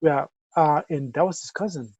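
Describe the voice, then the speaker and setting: A man's voice: a little high, normal speed, a little mellow, husky, dry recording, muffled recording. A man with a Salvadoran accent co-hosting a podcast, chatting easygoing and rambling with lots of fillers. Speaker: podcast co-host. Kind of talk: casual chat.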